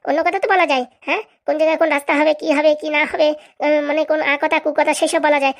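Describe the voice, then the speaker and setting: Casting: woman to play domineering cartoon wife, high creaky voice, relentless scolding. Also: steady tone. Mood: nagging, demanding. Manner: scolding, accusatory, rapid-fire haranguing. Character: bossy, nagging, domineering wife of a village politician. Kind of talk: comic cartoon voice acting.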